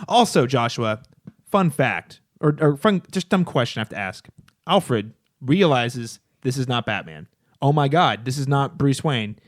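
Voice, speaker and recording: A man's voice: medium pitch, lively intonation, unhurried, no room sound, clean studio sound. A man co-hosting a podcast in casual, informal banter, repeating phrases for emphasis. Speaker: podcast co-host.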